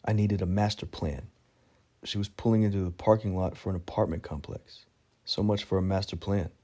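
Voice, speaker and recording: Male audiobook narrator, low and mellow, dry self-deprecating wit. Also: fairly even intonation, brisk. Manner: wry, self-mocking storytelling. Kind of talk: first-person audiobook narration.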